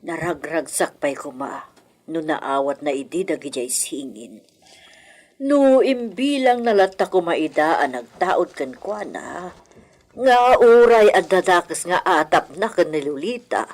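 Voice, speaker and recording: Secretive aging matriarch , female actor, low breathy-rough voice, brooding radio drama delivery. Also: animated, unhurried, darkish tone. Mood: wistful. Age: older.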